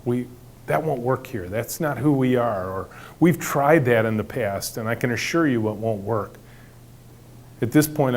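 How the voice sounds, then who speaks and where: A middle-aged man with a big booming voice, telling workplace stories to an audience and acting out the dialogue with animation. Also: slight background noise. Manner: animated storyteller acting out dialogue, some restarts. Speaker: company leader giving a talk. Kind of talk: storytelling talk to an audience.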